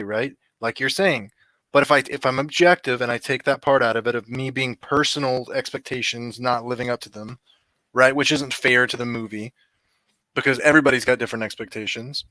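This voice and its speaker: A man's voice: mid-range voice, bright, forceful tone, dry recording. A man with a mid-range voice recorded dry and close. He talks bluntly and swears freely in a casual film debate. He challenges the other speaker directly. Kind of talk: casual movie review debate.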